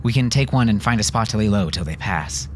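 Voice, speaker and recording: A man's voice: fairly low pitch, animated, brisk, slight background noise, close to an everyday voice. Man playing a tough, urgent woman fugitive. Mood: steadying. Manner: terse, pressing, commanding. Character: Miranda, tough urgent armed woman on the run.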